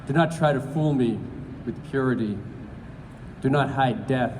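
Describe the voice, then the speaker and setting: A man at a live event, reading poetry slowly and deliberately, with halting remarks full of fillers in between. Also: mid-range voice, darkish tone. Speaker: poetry reader. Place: large event hall. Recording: roomy hall with background noise.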